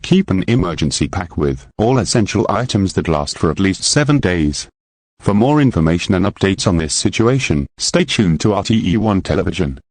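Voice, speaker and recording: Low-voiced synthetic man, formal emergency announcer. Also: big pitch swings, moderate pace, darkish tone, no echo. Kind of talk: scripted emergency broadcast announcement.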